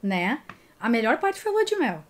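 Higher-voiced, candid southern Brazilian woman. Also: sing-song, normal speed. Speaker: co-host of a reaction livestream. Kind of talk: casual commentary chat. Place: small home room indoors, webcam livestream.

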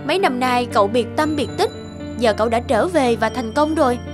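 A woman voicing a gossipy, spiteful young female classmate in a cartoon, goading and sharp-tongued. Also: a little high, animated, fairly quick, close to an everyday voice. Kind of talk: cartoon voice acting. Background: background music.

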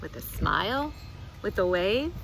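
A middle-aged woman reads a picture book aloud to children outdoors, with expressive, deliberate phrasing. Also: mid-range voice, creaky, noisy background. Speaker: children's librarian, storytime reader. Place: outdoors in a leafy garden.

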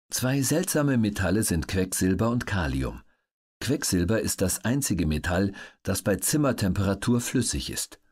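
A man reads scripted educational narration for an audiobook in a measured, factual manner. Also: fairly low pitch, a little mellow, slightly rough.

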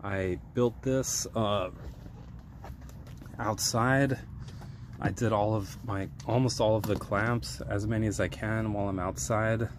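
Brief: low-voiced man, slow hands-on DIY explainer, phone mic, steady background noise. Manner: unhurried, many ums and uhs, hands-on explaining. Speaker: DIY repair vlogger. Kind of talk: casual how-to walkthrough. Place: indoors, cramped space under a sink. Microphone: handheld phone camera, first-person view.

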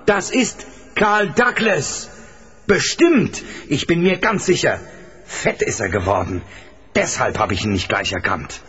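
Determined, higher-pitched racing driver dad. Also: male voice, lively intonation, measured pace, fairly bright tone, husky, full character voice. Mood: dawning realization. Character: determined racing driver and father, tense, protective. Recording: dull old cassette, noisy background.

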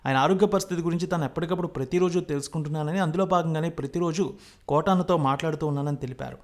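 Higher-pitched male news presenter. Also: rapid-fire. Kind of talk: scripted news narration.

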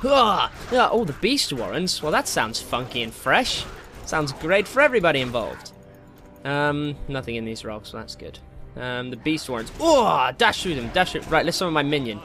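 A man giving casual, excitable commentary while playing a video game, jokey and slangy, with exclamations and swearing. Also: on the high side, normal speed. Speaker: gaming video host. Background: game music.

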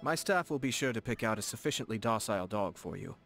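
A courteous young nobleman from a video game, speaks in a low, soft, polished voice. He is composed and formal, with measured phrasing and a politely playful edge. Music plays faintly behind him. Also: expressive, creaky. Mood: gentle, playful. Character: refined, courteous young nobleman commissioner, composed and gently teasing. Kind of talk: video game character dialogue. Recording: studio voice over game music. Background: game background music.

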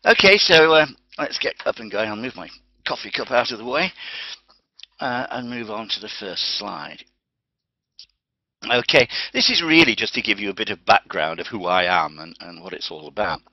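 A man's voice: fairly low pitch, animated, normal speed, no echo. An older man with a Welsh accent, a guest speaker giving a chatty, anecdotal webinar presentation from his home study over a webcam. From Wales. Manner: chatty, anecdotal, with frequent restarts. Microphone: webcam on computer. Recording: dull lo-fi webinar audio.

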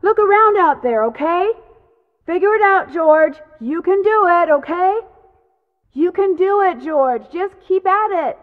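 A woman playing an upbeat, curious young female detective in a video game, youthful, perky and earnest, with clear diction. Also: very high voice, lively intonation, a little slow, no room sound, phone-quality sound. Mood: urgent, encouraging. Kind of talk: scripted video game voice acting, thinking aloud.

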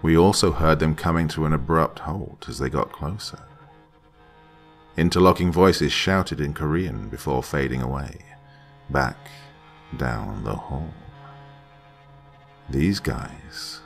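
A man with a deep, gravelly voice reading a horror story aloud in a slow, dramatic way, dropping to hushed whispers at tense moments. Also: faint music behind. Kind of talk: scripted horror narration.

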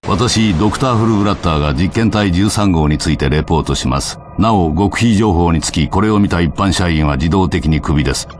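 A man's voice: low-pitched, fairly quick, few pauses, close to an everyday voice. An actor playing a stiff, formal male scientist in a video game, reciting dryly and officially. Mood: grave, clinical. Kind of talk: video game scripted report. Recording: clear noise behind the voice.

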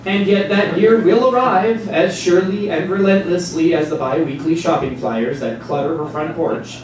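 A man's voice: fairly high pitch, moderate pace. A man reading scripted dramatic narration on stage. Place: small stage before a seated audience. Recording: distant mic, very echoey hall, noisy.

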